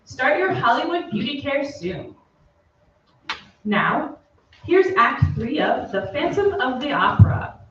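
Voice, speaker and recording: An actress playing an earnest, upbeat 1940s radio soap announcer with emphatic sales patter, read on stage. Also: female voice, high voice, big pitch swings, slow, long pauses, fairly bright tone, full character voice. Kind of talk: 1940s radio commercial re-enactment. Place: theatre stage, away from the mic. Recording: very echoey stage, distant, dull, faint noise.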